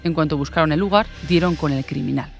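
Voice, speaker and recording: A woman's voice: deep voice, quick pace, vocal fry. A woman from reads a scripted, dramatic true-crime narration. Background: music.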